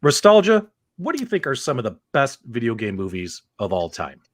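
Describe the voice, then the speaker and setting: An upbeat man hosts a live panel show with a very animated delivery. His voice sits at a middling pitch, and he talks straight to the audience. The sound is dry and close. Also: a little fast, no echo. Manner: upbeat, addresses the audience directly. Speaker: host. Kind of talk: hosting a live panel discussion.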